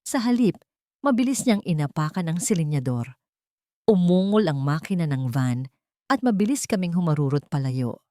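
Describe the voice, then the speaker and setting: A woman's voice: low voice, animated, moderate pace, warm tone, no echo, clean studio sound. A woman reading a scripted, dramatic first-person audio story as its narrator.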